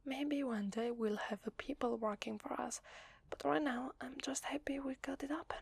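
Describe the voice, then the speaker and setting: A woman with an Italian accent voicing a weary, desperate vampire in a hesitant, breathy ASMR roleplay whisper. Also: high voice, fairly even intonation, no echo, heavily acted. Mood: weary, confiding. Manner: hesitant, slow, confiding. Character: weary, desperate, apologetic young-sounding female vampire.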